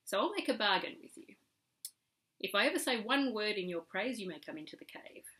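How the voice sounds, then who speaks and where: A woman plays a firm, shrewd cave woman from a storybook. Her voice is bright and forward, and she speaks briskly and commandingly, with a teasing edge. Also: mid-range voice, lively intonation, lightly acted. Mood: confident bargaining.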